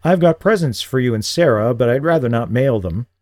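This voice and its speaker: A man voicing a lonely, regretful middle-aged father with a halting, earnest manner in audiobook dialogue. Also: medium pitch, lively intonation, a little mellow, no room sound, studio quality, natural voice. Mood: subdued, sincere.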